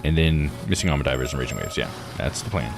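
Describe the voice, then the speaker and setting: A man's voice: extremely low pitch, expressive, fast talker, gravelly, music in the background. A man streaming a game playthrough with casual commentary, musing aloud in an easygoing way.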